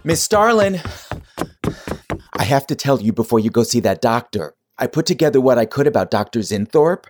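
Devoted male office secretary: anxious, earnest, deferential, medium pitch, dry sound. Audio drama. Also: very animated, moderate pace, a little mellow, no room sound. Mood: fretful. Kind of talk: campy audio drama acting.

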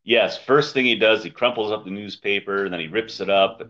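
A man's voice: lowish voice, fairly quick, fairly bright tone. A man co-hosting a podcast, talking in a casual, wry, conversational review style over a dull lo-fi recording. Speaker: podcast co-host. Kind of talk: casual comic book review chat. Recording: dull, lo-fi microphone sound.

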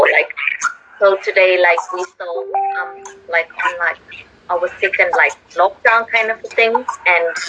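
A woman from Zimbabwe, a former teacher, chatting on a live stream in a relaxed way with many fillers. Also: mid-range voice, lo-fi sound. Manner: relaxed, with many ums and likes. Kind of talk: casual chat on a live stream. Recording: noisy line.

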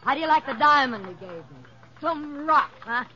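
An actress playing a brassy, slangy middle-aged nightclub owner in 1940s-50s radio drama style, breezy and world-weary. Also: female voice, sits high, expressive, normal speed, crowd noise. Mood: breezy, chatty. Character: brassy, wisecracking woman who owns a dive nightclub. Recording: dull, faintly noisy vintage radio recording.

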